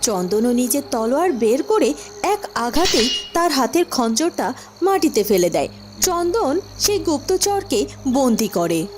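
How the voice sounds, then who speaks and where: A woman's voice: sits high, sing-song, unhurried, music in the background. A woman narrating a scripted fairy-tale cartoon story.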